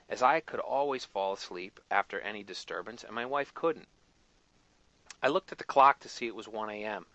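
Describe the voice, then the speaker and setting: Bright-voiced man telling a story, dry studio sound. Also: fairly low pitch, dry recording, lo-fi sound. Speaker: advert narrator. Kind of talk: scripted first-person storytelling.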